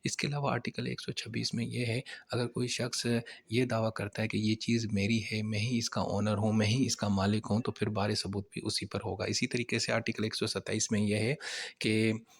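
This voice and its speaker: A man's voice: lowish voice, little pitch movement, moderate pace, vocal fry, slightly rough. A man with a Pakistani accent giving an informal explanatory law lecture in a conversational, step-by-step way. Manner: conversational, explains each point by rephrasing it.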